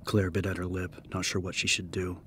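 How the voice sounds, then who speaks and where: A man narrating a scripted horror story as an audiobook, measured and serious. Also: deep voice, monotone, fairly bright tone, a little hiss or hum.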